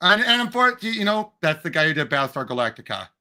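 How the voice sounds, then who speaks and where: A man with a high voice that carries a gravelly creak. He co-hosts a casual discussion in an animated, opinionated way, with a sharp edge to his tone and some groping for words. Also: rapid-fire. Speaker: podcast co-host. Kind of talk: casual pop culture discussion.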